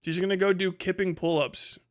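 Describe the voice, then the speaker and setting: Higher-voiced man, casual profane livestreamer. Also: fairly even intonation, a little fast. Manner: profane, slangy, rambling. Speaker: livestream host. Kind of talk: casual unscripted livestream commentary.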